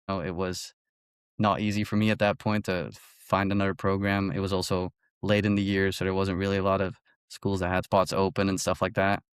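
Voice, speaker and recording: A man's voice: sits low, clear, resonant tone, dry recording, clean studio sound. A man in his early twenties from Belgium, an athlete telling his story casually as an interview guest. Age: early 20s. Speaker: college golfer, interview guest.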